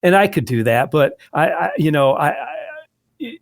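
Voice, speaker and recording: A man's voice: fairly high pitch, huge pitch swings, unhurried, gravelly, no echo. Rambling Midwestern man, dry close mic. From southwestern Wisconsin. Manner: rambling, frequent restarts and fillers. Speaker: guest, magazine editor. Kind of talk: casual interview conversation.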